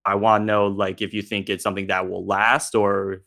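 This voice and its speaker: A man with a lowish but bright, forward voice hosts a casual discussion. He meanders toward his questions, rewording them as he goes. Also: little pitch movement, normal speed, creaky. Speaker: discussion host.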